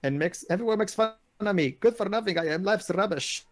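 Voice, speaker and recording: A man's voice: sits high, brisk, faint music behind, pitched above the performer's own voice, close to an everyday voice. A man voicing a tiny, timid, tearful fairy with a plaintive, self-pitying way of talking, reading a fairy tale. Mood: miserable. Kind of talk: fairy-tale read aloud during a game.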